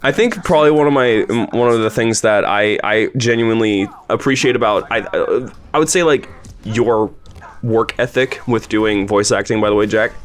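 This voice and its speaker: Enthusiastic, chatty man with a lower voice. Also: moderate pace. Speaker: host and producer of an audio drama. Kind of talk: casual commentary discussion.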